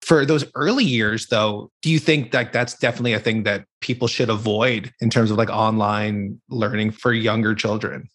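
Lowish-voiced male podcast co-host. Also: fairly even intonation, normal speed, hardly any pauses, fairly bright tone, breathy edge. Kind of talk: casual podcast interview questioning.

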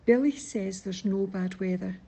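A woman's voice: mid-range voice, moderate pace, vocal fry, warm tone, slightly rough. A woman, reading a rhyming poem in a wry recital. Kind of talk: poetry reading.

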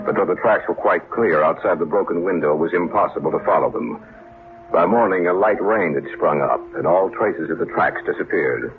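A man's voice: low voice, animated, brisk. An actor playing an earnest newspaperman who narrates his own eerie tale in an old-time radio drama. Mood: somber narration. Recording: tinny old radio recording.

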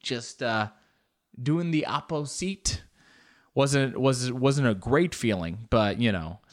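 A man's voice: mid-range voice, slow. A man in his late twenties telling a comedic story in a rambling, casual way, swearing often. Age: 20s. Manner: rambling, animated, swears a lot.

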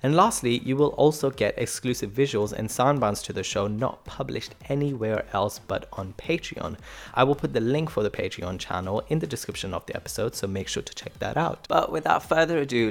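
A man's voice: fairly low pitch, vocal fry. A man hosting a podcast, talking informally with a bantering, playful manner. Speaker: podcast host. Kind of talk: casual podcast intro and playful chat.

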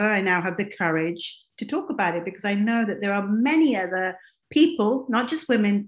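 Earnest woman in an echoey room. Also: lowish voice. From raised in England. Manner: earnest and open, speaks frankly. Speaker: life coach and podcaster, guest. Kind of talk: interview storytelling.

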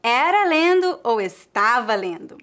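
High-voiced Brazilian woman teaching Portuguese. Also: very animated, noisy background. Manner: clear, careful teaching delivery with slow example drills. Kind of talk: scripted online language lesson.

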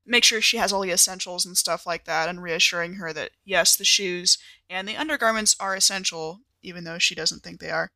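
A woman's voice: on the low side, brisk, hardly any pauses, pushed and bright, no room sound, rough recording. A woman playing in a tabletop game podcast, improvising casually with thoughtful, hesitant phrasing.

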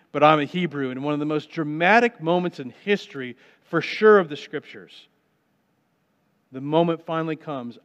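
Man preaching in a reverberant hall. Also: fairly high pitch. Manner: storytelling retelling of scripture, dramatic pauses, swings between loud and hushed. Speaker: pastor. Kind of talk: sermon. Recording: muffled sound with long reverb in a large hall.

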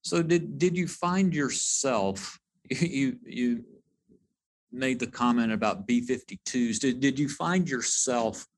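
Casual male interviewer. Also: mid-range voice. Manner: casual, loosely phrased questions. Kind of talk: conversational interview questions.

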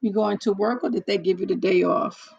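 A hoarse woman in casual one-on-one chat, talking haltingly with many fillers and restarts. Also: mid-range voice, a little mellow, no room sound, dull audio. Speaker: chat partner.

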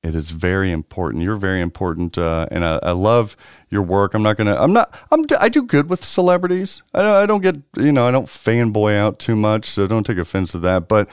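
A man's voice: fairly low pitch, animated, a little fast, clear, resonant tone, dry recording, clean studio sound. A man hosting a pinball podcast, announcing in a showy, hype style before settling into casual chat. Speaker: podcast host.

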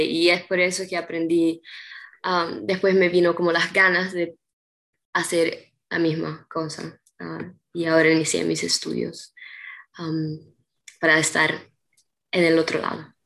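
A woman's voice: low-pitched, creaky, a bit bright. A young woman from Peru, a guest in a casual interview, who hesitates often with ums and restarts. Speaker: interview guest, artist's model.

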